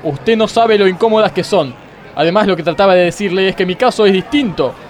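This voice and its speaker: An actor playing a self-pitying, pompous judge with an Argentine accent in a comic radio play. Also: male voice, sits high, fairly quick, lightly acted. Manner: whiny, pompous, speechifying. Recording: faint vehicle and street noise behind.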